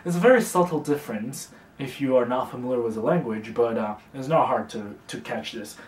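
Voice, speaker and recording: A young man from China, teaching a casual beginner language lesson in a relaxed, chatty way, with fillers and slow, careful repetition of example words. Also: medium pitch. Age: young adult. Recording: roomy, distant mic.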